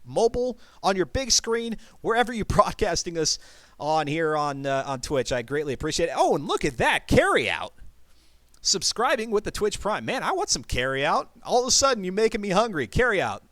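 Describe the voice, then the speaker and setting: A man's voice: high voice, very animated, brisk, a bit bright, no echo. A man hosting a racing live stream from his computer, chatting casually, thanking viewers and rambling through asides. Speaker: streamer and race host. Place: home setup at a computer.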